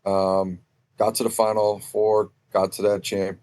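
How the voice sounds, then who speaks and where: A man appears as a guest on a sports podcast, sharing his analysis conversationally. His voice sits a little on the low side. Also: little pitch movement, unhurried, darkish tone. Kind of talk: casual sports analysis chat.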